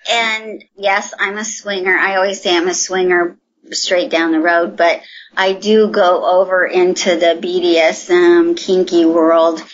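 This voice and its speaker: A woman's voice: on the low side, level tone, unhurried, dull audio. A woman telling a story in a chatty, enthusiastic way in a casual podcast conversation.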